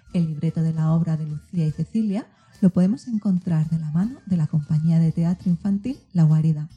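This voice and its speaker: Lady presenting a kids book show, really dark mellow voice, faint music under. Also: on the low side. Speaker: host of a children's book programme. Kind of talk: scripted presentation.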